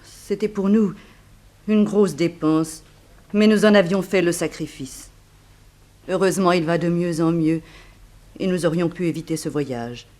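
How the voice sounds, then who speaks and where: An actor playing a plaintive, trusting widow in a 1960s French radio drama, speaking earnestly about misfortune. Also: male voice, fairly high pitch, a little slow, long pauses, darkish tone, lightly acted. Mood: plaintive, resigned.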